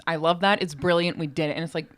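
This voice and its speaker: A woman's voice: sits low, steady tone, fast, a bit bright. A woman co-hosting a podcast in casual conversation, talking in an enthusiastic, emphatic way. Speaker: podcast co-host and co-writer.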